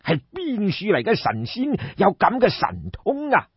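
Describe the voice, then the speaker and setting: Man acting a weary old king, husky and drawn-out. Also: high-pitched, wildly swooping pitch, pitched above the performer's own voice, heavily acted. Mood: astonished, curious. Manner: weary, sighing, marvelling. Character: aging, ailing, credulous king of ancient China. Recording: dull, roomy audiobook recording.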